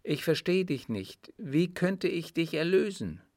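Man doing a proud young sheiks daughter for an audiobook, cold n bossy, pitched up, haughty. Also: big pitch swings, a little slow, darkish tone, no room sound, clean studio sound. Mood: cool, puzzled. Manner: haughty, cool, imperious. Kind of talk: audiobook character dialogue.

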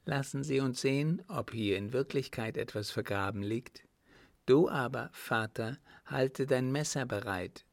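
A man's voice: medium pitch, measured pace, vocal fry, dry recording, voice pushed up. A man voicing a bold, cool-headed young woman in an audiobook, composed and defiant. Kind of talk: audiobook character voice.

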